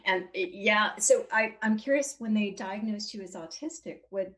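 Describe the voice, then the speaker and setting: A woman of about fifty talking as a guest, open and animated, quick to laugh. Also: mid-range voice. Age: 50s. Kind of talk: casual conversation.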